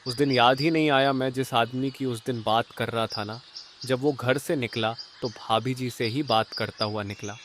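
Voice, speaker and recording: A man's voice: mid-range voice, steady tone, moderate pace, a bit bright, close to an everyday voice. A chatty, forgetful neighbour from a cartoon, acted by a man. He rambles and pries in a mid-range voice. There is faint noise behind him. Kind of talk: Hindi cartoon voice acting.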